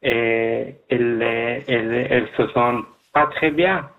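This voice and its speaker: A man from Afghanistan, speaking as a guest in a radio interview, halting, with many restarts. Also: mid-range voice, gravelly, phone-quality sound. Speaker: guest job seeker.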